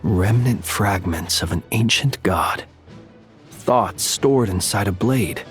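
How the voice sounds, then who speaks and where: A man playing an earnest, thoughtful soldier in a video game, courteous and measured. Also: fairly low pitch, soft background music. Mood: pondering. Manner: measured, formal.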